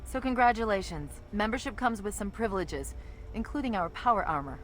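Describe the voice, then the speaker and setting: A woman playing a confident, battle-hardened soldier leading her squad in a video game, brisk and commanding with a wry edge. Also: mid-range voice, animated, slight background noise, natural voice, rough recording. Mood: friendly, wry.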